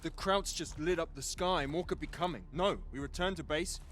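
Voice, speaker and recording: An actor playing a sensible, dry-witted, cautious soldier in a video game cutscene. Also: male voice, a little high, normal speed, fairly bright tone, natural voice.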